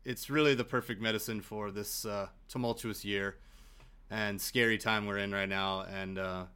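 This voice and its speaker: A man's voice: fairly low pitch, fairly even intonation, moderate pace, bright, forceful tone, smooth. A man hosting a podcast in casual chat, enthusiastic and joking. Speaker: podcast host.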